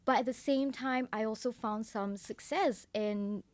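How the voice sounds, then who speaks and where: A woman's voice: a little high, measured pace, no room sound. A young woman from Singapore, a sales coach being interviewed on a podcast, who talks in an earnest, upbeat way and often builds her points as lists. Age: young adult.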